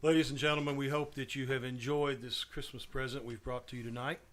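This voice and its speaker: A man's voice: medium pitch, little pitch movement, fairly quick, a bit bright, soft background music. A man hosting a live stage show, speaking to a theater audience as announcer. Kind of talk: curtain-call address to a live audience.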